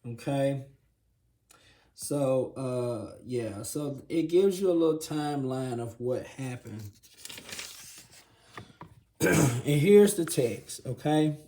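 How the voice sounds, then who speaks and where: A man hosting a casual Bible review video, his voice raspy and hoarse, often clearing his throat, and checking in with the viewer again and again. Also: mid-range voice, takes it slowly, long pauses. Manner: relaxed, filler-heavy, says "okay?" often. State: hoarse from congestion. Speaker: channel host reviewing a Bible.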